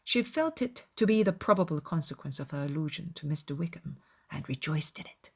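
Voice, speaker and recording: A woman narrates a dramatized literary audiobook. Her voice sits a little low. She tells the story in formal, measured phrases. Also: animated, slightly rough.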